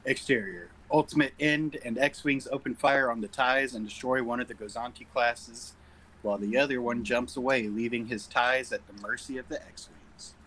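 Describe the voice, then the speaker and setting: A man's voice: medium pitch, steady tone, normal speed, a bit bright, husky, rough recording. A man reading stage directions as narrator at an informal sci-fi script table read.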